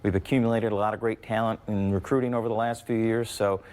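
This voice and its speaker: A man's voice: lowish voice, level tone, fast talker, darkish tone. A man with an American accent, answering interview questions conversationally as a university athletics representative.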